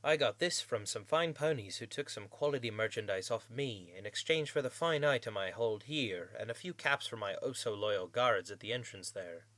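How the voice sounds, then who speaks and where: A man voicing a shrewd, condescending male pony merchant, high and flighty, in an audiobook-style story. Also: animated, normal speed, hardly any pauses, a bit bright, no echo. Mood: sly, condescending. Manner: condescending, wheedling sales patter.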